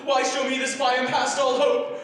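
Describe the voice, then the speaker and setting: An actor playing an old miser who begs a ghost and then rejoices, in a 1940s-style radio drama. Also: male voice, extremely high pitch, monotone, a little fast, fairly bright tone. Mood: desperate. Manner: pleading and theatrical. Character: old male miser, remorseful then overjoyed. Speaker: Scrooge. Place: theater stage. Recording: echoey stage from far off.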